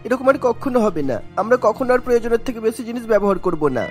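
Lady doing a meek cartoon schoolboy, talks fast, highish, faint music. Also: expressive, lightly acted. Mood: meek, apologetic. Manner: explaining meekly. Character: apologetic schoolboy younger brother-in-law.

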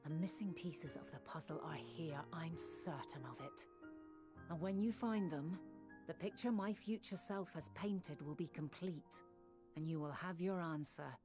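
Low-voiced, resolute noble fantasy sage. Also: female voice, music in the background. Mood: thoughtful, assured. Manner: measured, formal, archaic diction. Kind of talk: dramatic video game acting.

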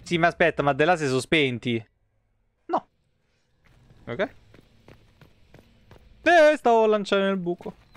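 A man's voice: high-pitched, huge pitch swings, a little slow, pauses often, no echo. A man, muttering casual, foul-mouthed commentary as he streams a game. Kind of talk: casual live gameplay commentary. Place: small indoor room, streaming setup.

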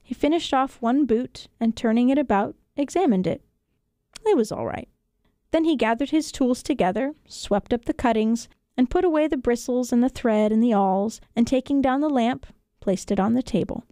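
High-voiced woman narrating a story. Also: normal speed, dark tone, no echo, studio quality. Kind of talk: scripted children's story narration. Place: radio studio.

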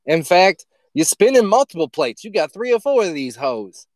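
Dude bantering on a podcast, mocking and sweary, very animated voice, close dry audio. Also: male voice, high voice, normal speed, no room sound. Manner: mocking, profane, incredulous. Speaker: podcast co-host. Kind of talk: casual comedic banter.

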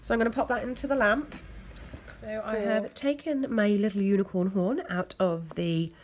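A woman with an English accent, hoarse from a cold, chatting casually as she talks through a nail art tutorial. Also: mid-range voice, fairly even intonation, normal speed, a little hiss or hum. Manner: chatty, loose, lots of fillers. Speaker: nail technician. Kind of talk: step-by-step tutorial narration.

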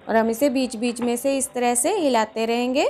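A woman hosting a home cooking video, patiently explaining each step in a calm instructional voice-over. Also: on the high side, moderate pace, slight background noise. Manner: patient, explains each step, often says "dekhiye, lijiye". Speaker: cooking channel host.

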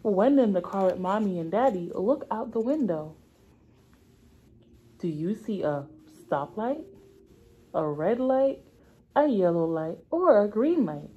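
A woman's voice: mid-range voice, lively intonation, a little slow, long pauses, warm tone, husky, lo-fi sound. A young woman speaking as a preschool teacher, reading aloud to small children in simple, repeated, stretched-out phrases. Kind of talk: children's read-aloud lesson. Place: indoor classroom.

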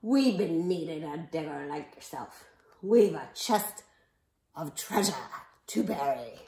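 A woman voicing a hearty, commanding male pirate captain in a storybook, with drawn-out old-time pirate speech. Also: low-pitched, lively intonation, mellow, echoey room, voice pushed down, heavily acted.

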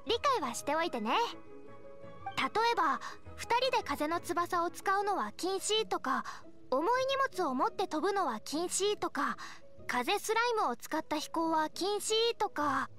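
Bright, high-pitched young knight girl. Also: measured pace, soft background music, heavily acted. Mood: confident, instructive. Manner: energetic, friendly, explanatory. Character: cheerful, responsible young knight scout girl, a gliding examiner. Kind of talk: Japanese anime game dialogue.

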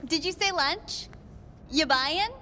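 A loyal female assistant in a video game, dry and teasing. Her voice is high and understated, carried in a long reverb with faint noise behind. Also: animated, slow, pushed and bright, full character voice. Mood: wry, teasing. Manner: wry, understated.

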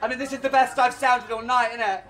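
A man's voice: very high-pitched, steady tone, fast, roomy sound, slight background noise. A man, performing in drag on a Pride stage and bantering with the crowd through a handheld mic in a chatty, self-mocking, camp way. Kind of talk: live stage banter between songs. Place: stage at an outdoor Pride event. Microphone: handheld stage microphone. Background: loud guitar music.

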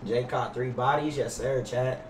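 A man's voice: mid-range voice, measured pace, recorded from a distance. A young American man talks in loose, slangy, swearing chatter as he streams a roleplay game. His voice sits in a roomy space, a little away from the mic. A faint layer of noise runs underneath. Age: young adult. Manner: slangy, swearing, animated street talk. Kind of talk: gaming livestream roleplay, casual slangy chat. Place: indoor room at gaming chair.

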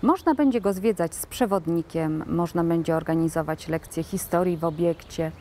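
A woman's voice: lowish voice, normal speed, warm tone, slight background noise. A middle-aged woman, a cultural centre director, giving a prepared interview statement outdoors. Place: outdoors by a building.

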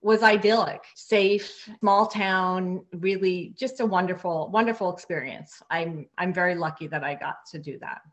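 A woman's voice: fairly low pitch, fairly even intonation, normal speed, hardly any pauses, lo-fi sound. A woman in her fifties from Hawaii, a guest on a video call from her living room, talking earnestly and often repeating her words. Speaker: guest, real estate broker. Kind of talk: casual interview over video call. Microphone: laptop webcam on video call.

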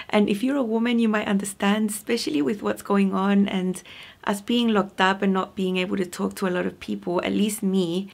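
Croaky-voiced woman chatting about sewing. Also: mid-range voice. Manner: cheerful, chatty, laughs often. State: hoarse, sore voice. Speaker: sewing vlogger. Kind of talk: casual vlog chat. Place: home sewing room, indoors.

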